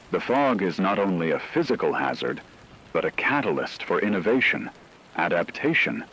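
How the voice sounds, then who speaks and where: A man playing a grave radio news co-anchor giving deliberate, formal scripted commentary during an apocalypse. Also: low-pitched, fairly bright tone, heavily acted, poor audio. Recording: faint rain noise behind voice.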